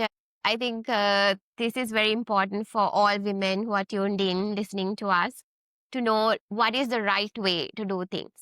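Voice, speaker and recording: A woman's voice: mid-range voice, bright, forceful tone, no room sound. A woman co-hosting an interview, asking a guest long, friendly, rambling questions. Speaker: co-host.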